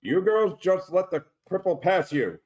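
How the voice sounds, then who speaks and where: A man's voice: sits high, big pitch swings, unhurried, darkish tone, full character voice. Curt, taunting creature male, played by a man. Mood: barking, harsh. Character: brusque creature males: gruff coach, snide teenage brother. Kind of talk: screenplay table read.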